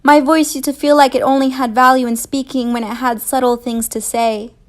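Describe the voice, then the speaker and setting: A young woman performs spoken word poetry. Her voice is fairly high and stays on a very even line of pitch. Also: moderate pace. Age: young adult. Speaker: student spoken word poet.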